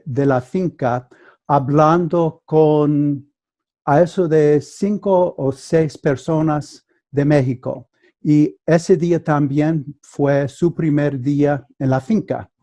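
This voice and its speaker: A man whose first language is English, speaking Spanish with an American accent as a guest mediator, telling a story carefully with halting restarts over a video call. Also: a little high, mellow. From United States. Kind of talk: conversational storytelling.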